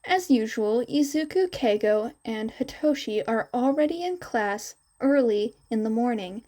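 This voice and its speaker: Woman reading fanfic out loud, slow pace, kinda mellow voice, scripted storytelling. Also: a little high, expressive. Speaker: fan fiction narrator.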